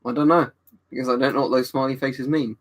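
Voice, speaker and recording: A man's voice: mid-range voice, steady tone, quick pace. A man, casually chatting and answering viewers on a livestream. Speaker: livestream host reading chat. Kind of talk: casual chat.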